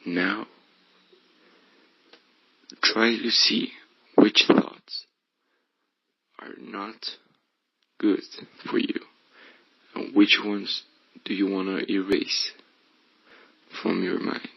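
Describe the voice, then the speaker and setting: Male meditation guide, low pitch with gravelly fry, hushed delivery, slightly muffled recording. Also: little pitch movement, drawn-out words, pauses often, no room sound. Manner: hushed, breathy, often slipping toward whisper. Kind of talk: guided meditation, soothing instruction.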